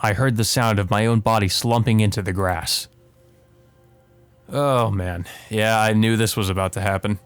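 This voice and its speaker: Let's play host, male, lowish register, narrating a visual novel with casual asides over game music. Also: steady tone, moderate pace, clear, resonant tone. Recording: music playing behind the voice.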